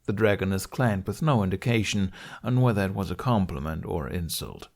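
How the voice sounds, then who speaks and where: A man narrates fiction for an audiobook. His voice is fairly low with a noticeable creak. He reads scripted prose as a storyteller. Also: fairly quick, a little mellow.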